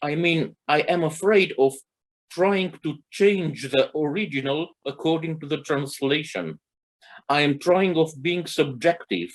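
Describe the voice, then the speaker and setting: A man whose first language is Romanian, co-hosting a literary interview and pausing often to search for words. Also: a little high, animated. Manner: halting, searching for words with many fillers. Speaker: co-host.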